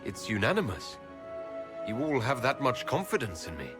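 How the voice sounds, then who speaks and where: An actor playing a humble but firm young heroic leader in a fantasy game, with an earnest, deliberate delivery. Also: male voice, medium pitch, very animated, natural voice. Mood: doubtful, questioning. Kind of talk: dramatic fantasy game acting. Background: loud orchestral music.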